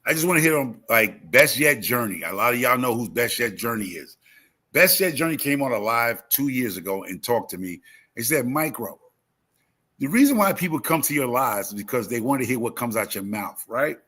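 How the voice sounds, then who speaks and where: A man's voice: mid-range voice, lively intonation, normal speed, sharp tone. A talkative man in his fifties chatting casually as a livestream host, emphatic and repeating phrases as he tells motivational stories. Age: 50s. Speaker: YouTube gardening creator and live host.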